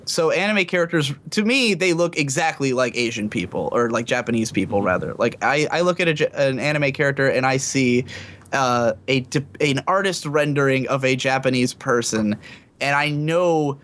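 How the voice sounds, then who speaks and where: A man's voice: mid-range voice, normal speed, fairly bright tone. A man co-hosting a casual podcast, rambling with lots of restarts and fillers and laughing often. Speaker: podcast co-host. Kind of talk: casual group podcast chat.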